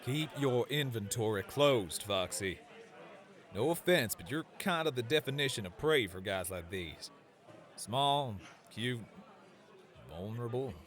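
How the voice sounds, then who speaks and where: An actor voicing a cocky, easygoing fantasy gunslinger with a Western American accent, drawling and teasing in slang. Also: male voice, mid-range voice, animated, measured pace, a bit bright. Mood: warning.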